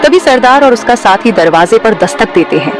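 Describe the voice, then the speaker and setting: Lively high-voiced woman narrating. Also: normal speed, music in the background. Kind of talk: scripted Hindi story narration.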